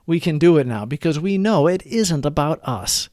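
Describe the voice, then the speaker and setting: A man giving an earnest, deliberate read-aloud of a scripted recovery meditation as its narrator. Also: a little high, lively intonation, darkish tone, no room sound, studio quality.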